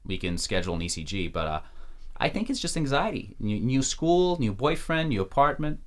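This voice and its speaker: An actor playing a nervous, placating hospital doctor with a hesitant, stammering delivery. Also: male voice, mid-range voice, big pitch swings, fairly quick, close to an everyday voice. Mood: uneasy, reassuring.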